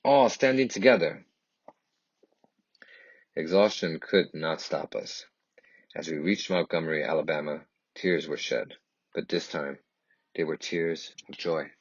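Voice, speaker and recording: A man reads a children's picture book aloud in a husky voice, with expressive, emphatic and dramatic phrasing. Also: deep voice, unhurried, long pauses. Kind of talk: expressive read-aloud storytelling. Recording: dull, lo-fi, echoey room.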